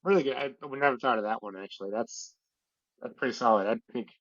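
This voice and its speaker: A podcast co-host chatting casually, agreeable and easygoing, sounding congested from a lingering illness. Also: male voice, mid-range voice, fast talker, a bit bright, dry recording, muffled recording. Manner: easygoing, agreeable, lots of fillers. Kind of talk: casual chat.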